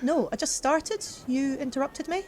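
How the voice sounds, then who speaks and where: Huffy, high-pitched woman acting a mermaid. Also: lively intonation, moderate pace, darkish tone. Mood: puzzled. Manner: huffy, literal-minded. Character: indignant, dim-witted young mermaid woman. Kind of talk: improvised comedy audio skit. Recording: amateur audio, faint noise.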